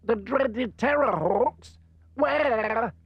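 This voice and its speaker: An actor playing a dim, timid, vain villain's sidekick, with a husky voice and a childlike, gormless manner. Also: male voice, very high voice, extremely slow, no echo, highs cut off, heavily acted. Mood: dim, anxious.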